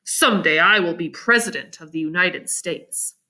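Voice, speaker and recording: A woman reads as a kindly teenage farm boy from a storybook. The voice drops well down and stays calm and earnest. He talks with quiet, proud conviction. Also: on the low side, normal speed, voice pushed down. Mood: proud, hopeful.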